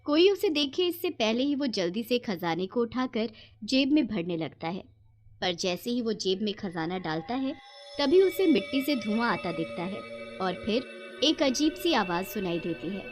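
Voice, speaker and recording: A woman's voice: fairly high pitch, big pitch swings, normal speed. A woman narrating a scripted cartoon story, with music playing behind her.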